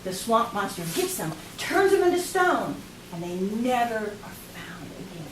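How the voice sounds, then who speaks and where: Woman putting on a weeping old farmer, wailing, swooping pitch, distant mic, background noise. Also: sing-song, unhurried. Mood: pleading. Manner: wailing, breaking into high sobs. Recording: echoey room, far from mic, noisy.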